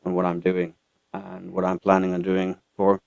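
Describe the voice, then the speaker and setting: Male interview guest, Finnish accent, low resonant voice, halting casual delivery, dry studio sound. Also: monotone, vocal fry, no room sound. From Finland. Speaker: guest country singer-songwriter. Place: radio studio.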